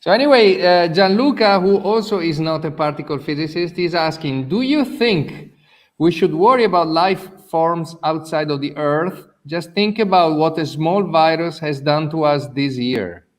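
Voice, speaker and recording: Guy hosting a live q&a, voice a bit high, chatty, stops and repeats words. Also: moderate pace. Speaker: festival host moderating audience questions.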